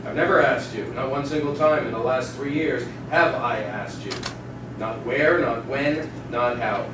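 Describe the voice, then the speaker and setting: A man's voice: mid-range voice, close to an everyday voice. A man playing a crude, domineering oil prospector boss, blunt and commanding, in a staged dramatic reading. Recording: echoing stage, distant mic, noisy.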